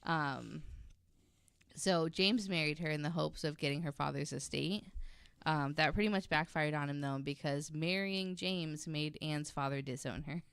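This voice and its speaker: Low-voiced woman with creaky, casual delivery. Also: moderate pace, a bit bright, no room sound. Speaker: podcast guest host telling a story. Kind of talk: casual conversational storytelling.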